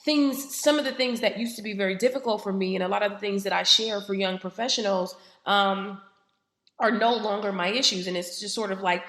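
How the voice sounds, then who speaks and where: A woman hosts her own podcast and talks reflectively, with a voice that is slightly raspy from a head cold. Her pitch sits in a middle range, and she speaks casually, pausing often on "um." The sound is roomy and echoey. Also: steady tone, fairly bright tone. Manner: conversational, frequent "um" fillers. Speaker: podcast host. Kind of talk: reflective solo podcast talk.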